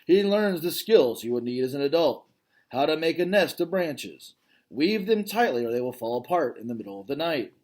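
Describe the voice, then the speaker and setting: A father reads a children's book aloud in a lively voice that swings up and down in pitch. He stops now and then to talk straight to his listeners about the story, then goes back to reading. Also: moderate pace. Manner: expressive reading, breaks off to comment directly to listeners. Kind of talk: reading a children's novel aloud with chatty asides.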